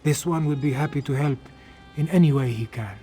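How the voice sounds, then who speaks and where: Man voicing a mellow, loyal cat-folk warrior. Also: fairly high pitch, a little fast, faint music behind, lightly acted. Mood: earnest, steady. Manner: formal, third-person self-reference. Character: loyal, humble cat-folk warrior speaking in third person. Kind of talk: fantasy game dialogue.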